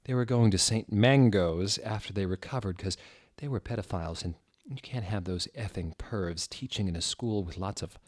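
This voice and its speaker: A man's voice: sits low, a little mellow, dry recording, studio quality. A man doing a mock-dramatic comedic reading of fan fiction, theatrical and sardonic, breaking off for asides. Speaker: reader.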